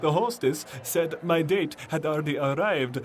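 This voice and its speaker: A man's voice: on the high side, a little hiss or hum, natural voice. A man playing a hesitant, lovestruck vampire. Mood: fond, earnest. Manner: hesitant, halting, stammering, bashful. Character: lovestruck, awkward centuries-old male vampire telling his dating story. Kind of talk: mock dating testimonial in comedy audio drama.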